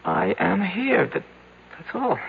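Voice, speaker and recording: Nervous young guy, stammers, high creaky voice, muffled old radio drama. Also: big pitch swings, slow pace, heavily acted. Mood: anxious, shaken. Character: anxious young man trapped in a dark cave. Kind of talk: 1970s radio drama acting.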